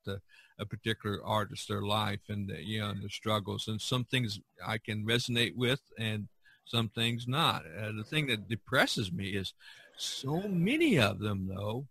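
Bright, husky-voiced man hosting a podcast. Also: on the low side, no room sound. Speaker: podcast host. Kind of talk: casual, rambling art discussion.

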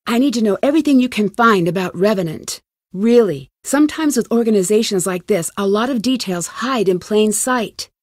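A woman's voice: medium pitch, animated, normal speed, dry recording, clean studio sound, lightly acted. An actress playing an earnest, determined young female amateur detective in conversational video game dialogue. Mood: intent, urgent. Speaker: lead character in a detective adventure game.

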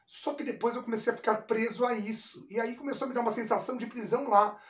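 A man's voice: very high voice, steady tone, fast talker, a bit bright. A middle-aged man with a Brazilian accent talks casually to the camera in a candid, reflective, explanatory way. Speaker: vlog host, chef. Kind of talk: casual personal talk to camera. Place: small indoor kitchen. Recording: echoey room, distant microphone.

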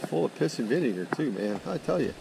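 A middle-aged man giving casual, excited commentary while fishing outdoors by a creek, recorded on a head-mounted action camera. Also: medium pitch, gravelly. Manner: excited exclamations, folksy talk. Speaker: fishing vlogger. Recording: outdoor action camera with loud water noise. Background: rushing water noise.

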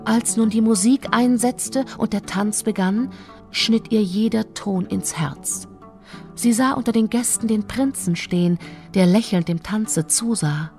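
A woman narrates a fairy tale at a middle pitch. She tells it in a measured, expressive way, with music clearly playing behind her.

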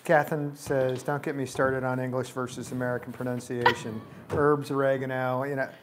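A woman's voice: rumbling low, flat delivery, moderate pace, a little hiss or hum. A woman off camera in a home kitchen, reading viewer comments aloud to the host and laughing, heard from across the room. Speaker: off-camera helper reading chat.

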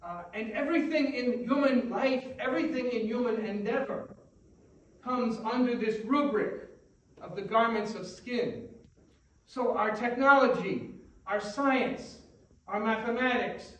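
Older priest preaching in an echoey church. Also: male voice, extremely high pitch, a bit bright, recorded from a distance. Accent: American. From United States. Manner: measured, thoughtful, with frequent pauses and "uh" fillers. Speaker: Orthodox priest. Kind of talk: sermon. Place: large church sanctuary, indoors. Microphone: far camera.

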